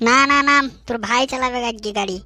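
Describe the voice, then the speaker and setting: A man's voice: very high voice, quick pace, bright, forceful tone, dry recording, faint music behind, heavily acted. A man voicing a bossy, teasing young village man in a comic cartoon, with a rural West Bengal accent.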